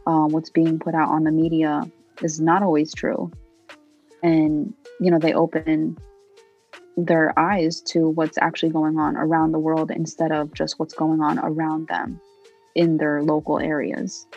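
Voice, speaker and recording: A woman's voice: deep voice, completely flat delivery, measured pace, a little mellow, slightly rough, dull audio, faint music behind. A young American-born woman answering interview questions about her poetry and identity in an earnest, thoughtful way, with frequent "you know" fillers. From United States. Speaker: interviewee, poet.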